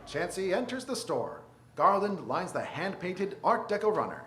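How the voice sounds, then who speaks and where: A man's voice: high-pitched, very animated, normal speed, a bit bright. A man giving scripted narration for a radio drama. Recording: echoey stage with clear background noise.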